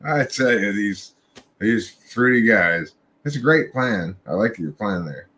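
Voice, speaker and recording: A man's voice: fairly low pitch, lively intonation, unhurried, fairly bright tone. A young man hosting a livestream chat, telling a rambling, profane story and laughing along the way. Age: young adult. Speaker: podcast host.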